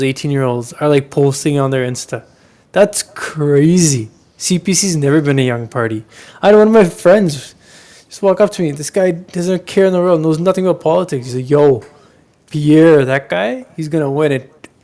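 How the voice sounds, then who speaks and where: A man's voice: fairly high pitch, darkish tone. A man co-hosting a podcast, talking politics casually and emphatically with plenty of slang. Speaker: podcast co-host.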